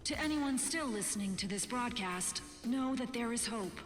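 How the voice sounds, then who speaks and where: An actress playing a sober resistance radio broadcaster in a video game, delivering war news in a formal, measured, earnest way. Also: female voice, a little high, lively intonation, close to an everyday voice. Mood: hopeful. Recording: echoing broadcast with music bed. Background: music.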